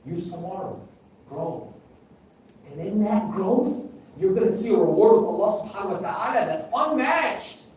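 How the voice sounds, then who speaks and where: A man's voice: high voice, lively intonation, normal speed, darkish tone, slight background noise. A middle-aged man whose first language is Arabic, preaching a sermon and speaking directly to the audience, asking them questions and repeating phrases for emphasis. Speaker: speaker at a religious gathering. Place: large hall. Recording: echoey hall, heard from a distance.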